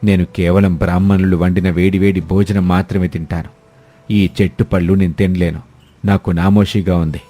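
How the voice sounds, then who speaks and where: A proud, rich older landlord, played by a man in a cartoon village fable. His voice is very deep and clear-edged bright, with music behind him. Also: level tone, normal speed. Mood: haughty. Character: proud older wealthy male landlord, humbled. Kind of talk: scripted moral-story cartoon dubbing.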